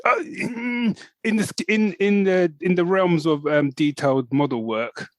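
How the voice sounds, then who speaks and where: A man's voice: high-pitched, a little slow, no room sound. A British man co-hosting a podcast in casual chat, talking loosely and laughing often. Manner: chatty, laughing, lots of "you know what I mean". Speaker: podcast co-host.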